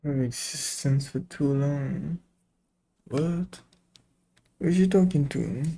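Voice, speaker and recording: A man's voice: fairly high pitch, slow, creaky, mellow. A man reacting casually to a video game as a streamer, laid-back and offhand.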